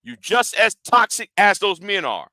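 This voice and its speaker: A man's voice: sits high, very animated, unhurried, sharp tone, no echo. A man ranting in a commentary vlog. He talks confrontationally and swears a lot, repeats and restarts his words, and challenges his audience directly. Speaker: YouTube commentator.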